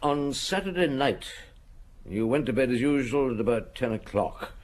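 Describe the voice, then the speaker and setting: An actor playing a seasoned French police chief inspector in a radio drama, patient and dogged, speaking in a measured, probing, official way with thoughtful hums. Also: male voice, mid-range voice, animated, natural voice. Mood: probing, methodical. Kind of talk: radio drama acting, reminiscing and interrogating.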